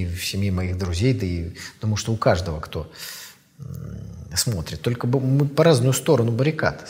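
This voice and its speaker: A man's voice: mid-range voice, a little mellow, long reverb tail. Reflective man in his fifties. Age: 50s. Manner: measured, thoughtful, with pauses and fillers. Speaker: author. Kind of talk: reflective interview answers.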